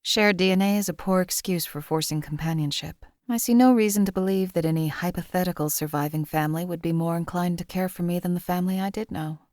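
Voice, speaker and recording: A woman's voice: lowish voice, fast talker, few pauses, darkish tone, no echo, studio quality, natural voice. A woman voicing a cold, contemptuous hired assassin in a game, speaking in a formal, clipped, disdainful way. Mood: detached. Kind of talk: game character voice lines.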